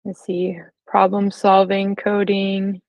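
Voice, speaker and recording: Unhurried American woman giving a talk. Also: medium pitch, completely flat delivery. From California, United States. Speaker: guest speaker, software engineer. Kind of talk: conversational presentation to an audience. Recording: slightly roomy, dull lo-fi sound.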